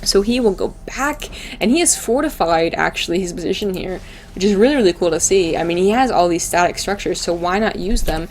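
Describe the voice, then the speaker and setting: Excitable woman casting a video game, some noise. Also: lowish voice, brisk. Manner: gushing, excitable, slangy and informal. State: voice tiring, starting to go. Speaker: esports match commentator. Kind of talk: live video game commentary, casual and chatty.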